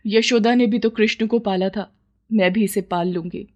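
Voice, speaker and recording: A childless village woman played by a female narrator pleads her case with longing and stubborn self-justification. She belongs to a dramatized moral story and speaks in a medium-pitched voice. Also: steady tone, moderate pace, a little mellow, lo-fi sound, lightly acted. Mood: defensive pleading. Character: childless village woman, yearning, scheming, defensive new mother.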